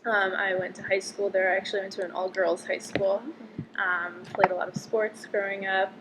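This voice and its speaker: A woman's voice: medium pitch, level tone, normal speed. Chatty young woman with background noise. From Connecticut. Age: 20s. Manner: chatty, frequent "um" and "like" fillers. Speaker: interviewee, medical student. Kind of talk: casual interview answers.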